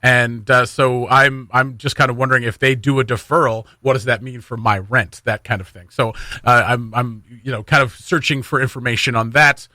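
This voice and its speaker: A man's voice: medium pitch, steady tone, normal speed, bright, forceful tone, no room sound, clean studio sound. A Canadian man hosting a casual morning radio chat in a jokey, conversational way, often repeating phrases for emphasis. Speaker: radio host. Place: radio studio. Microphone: close studio microphone.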